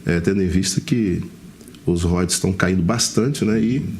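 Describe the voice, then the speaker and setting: A man's voice: sits low, normal speed, a little mellow. A Brazilian man hosting a television interview segment, addressing the viewers and his guest. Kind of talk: broadcast interview.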